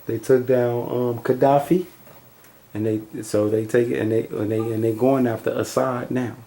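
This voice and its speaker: A man's voice: medium pitch, steady tone, normal speed, dark tone. A man casually commenting during a scripture reading, blunt and profane. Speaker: religious commentator. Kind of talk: casual religious discussion.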